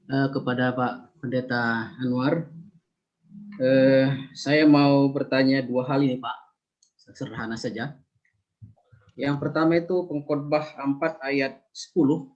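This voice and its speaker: A man's voice: medium pitch, lo-fi sound. A man from Karo asking earnest questions at a seminar, repeating his points with hesitant fillers. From Karo, North Sumatra.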